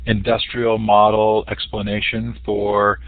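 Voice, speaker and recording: A man moderating the question session of an online talk, giving clear and friendly instructions. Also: fairly low pitch, completely flat delivery, slow pace, dry recording. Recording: tinny online call audio.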